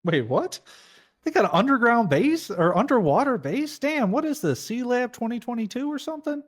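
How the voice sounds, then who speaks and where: A man's voice: very high voice, very animated, normal speed, heavily acted. A man playing a brash, curious criminal defendant in casual online roleplay, slangy and full of questions. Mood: incredulous.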